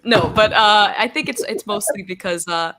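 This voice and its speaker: A woman's voice: medium pitch, moderate pace, sharp tone. Giggly young woman chatting on a call. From born in Singapore, raised in Barbados and the Philippines. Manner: giggly, self-deprecating, chatty with fillers. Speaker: guest, actor and singer. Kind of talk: casual interview chat.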